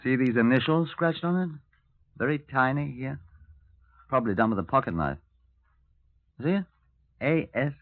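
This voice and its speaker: Man acting a wry British police superintendent, animated, tinny old radio. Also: medium pitch, moderate pace, long pauses, natural voice. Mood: intent, curious. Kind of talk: 1950s radio drama acting. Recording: tinny, narrow-band vintage radio recording.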